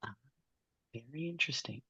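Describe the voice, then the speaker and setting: A man learning as a student, thinking aloud haltingly as he reads through material and checks his understanding. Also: mid-range voice, animated, bright, forceful tone, husky, no echo, poor audio. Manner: tentative, halting, asks to confirm understanding. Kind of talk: thinking aloud while reading through code.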